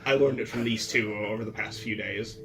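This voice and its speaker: A man's voice: on the low side, steady tone, a little fast, fairly bright tone. A man chatting casually as a player in a tabletop game, thinking aloud about his character. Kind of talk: casual chat, explaining character's actions. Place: in-person tabletop game session. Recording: clear music behind voice.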